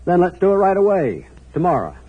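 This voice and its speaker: An actor playing a folksy but sinister New England hotel keeper with a clipped drawl, in a 1940s radio thriller style. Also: male voice, high-pitched, wildly swooping pitch, a little fast, breathy edge, full character voice. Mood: eager, insistent. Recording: muffled old radio recording.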